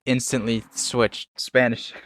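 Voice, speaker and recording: A man's voice: medium pitch, level tone, takes it slowly, fairly bright tone, slight background noise. A young man chatting casually and easily with a friend, laughing often. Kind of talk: casual chat.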